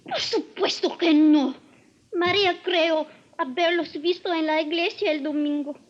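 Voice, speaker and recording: A woman's voice: very high voice, moderate pace. An actress playing a young Spanish-speaking girl questioned as a witness in a 1950s radio drama. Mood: hesitant recall. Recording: dull vintage 1950s radio recording.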